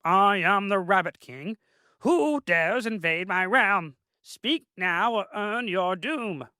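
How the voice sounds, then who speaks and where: A man voicing a pompous giant male rabbit king who shouts in a high, haughty way. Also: animated, slow, clear, resonant tone, no echo, studio quality, full character voice. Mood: imperious. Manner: shrill, haughty, indignant.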